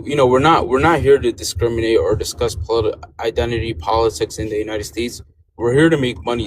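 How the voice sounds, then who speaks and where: A man's voice: fairly low pitch, a little hiss or hum, rough recording. A man from Sudan, Arabic his first language, speaking English with an accent, hesitant with many fillers as a call-in guest. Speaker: guest caller. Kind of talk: debate call-in.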